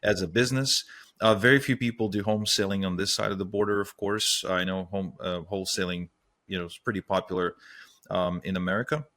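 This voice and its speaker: A man's voice: low-pitched, fairly even intonation, brisk, fairly bright tone. A man in his thirties from Ukraine, raised in Israel, hosting a casual interview in a chatty way. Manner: chatty, many fillers and restarts. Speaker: podcast host.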